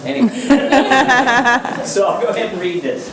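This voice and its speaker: A man's voice: very high-pitched, lively intonation, unhurried, creaky, a crowd in the background. Man reading aloud in an echoey chamber. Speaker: veterans memorial committee volunteer. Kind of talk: reading a thank-you letter and announcements. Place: city council chamber. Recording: echoey chamber, distant mic, background noise.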